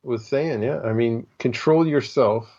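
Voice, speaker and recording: Man, medium pitch, casual co-host chat, agreeable, slightly dull lo-fi recording. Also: unhurried, warm tone. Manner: agreeable, brief interjections.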